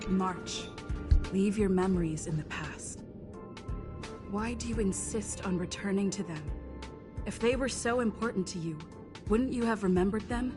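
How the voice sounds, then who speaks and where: A woman's voice: medium pitch, a little slow, long pauses, natural voice. A woman voicing a stern, solemn, admonishing mentor in a dramatic video game scene. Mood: solemn reproach. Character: stern, imposing woman mentor, coldly reproachful. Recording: game music behind voice.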